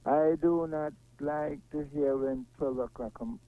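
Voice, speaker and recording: A man's voice: fairly high pitch, a little slow. A man with a Jamaican accent chatting casually in an earnest, agreeable way, heard through a tinny, narrow recording. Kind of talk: casual chat. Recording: tinny, phone-like narrow sound.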